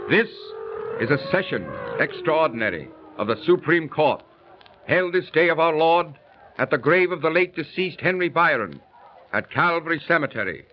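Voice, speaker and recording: An actor playing a stern, officious court crier, proclaiming formally in 1930s radio style. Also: male voice, on the high side, lively intonation, normal speed, close to an everyday voice. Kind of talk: 1930s radio drama acting. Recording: dull, faintly noisy 1930s radio recording.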